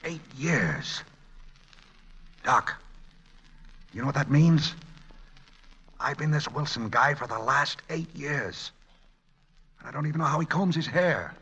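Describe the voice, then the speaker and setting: An actor playing a smooth-talking, flirtatious con man in a radio romantic comedy, stammering then recovering with wisecracks. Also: fairly high pitch, animated, slow pace, long pauses, sharp tone, full character voice. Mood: scheming, excited. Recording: dull, muffled vintage radio recording.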